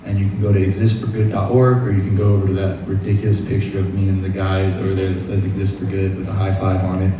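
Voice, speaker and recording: A man's voice: deep voice, monotone, fast, hardly any pauses, noisy background. A young man from Nashville, fronting a band and telling a rambling, self-deprecating story between songs on stage. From Nashville, USA. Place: large concert club stage. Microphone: stage vocal mic through PA. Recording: echoey live concert hall from far off.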